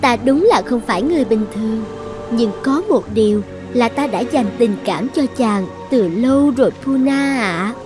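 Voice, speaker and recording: A woman's voice: fairly high pitch, lively intonation, moderate pace, close to an everyday voice. A woman voicing a possessive young island sorceress in a cartoon fairy tale, coaxing and threatening with commanding self-assurance. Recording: music behind the voice.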